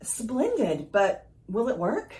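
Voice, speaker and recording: Woman acting anxious, expressive radio-drama wife. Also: on the high side, takes it slowly, exaggerated voice. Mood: curious. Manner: earnest, melodramatic. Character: devoted anxious wife and mother on alien world. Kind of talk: retro radio theater acting.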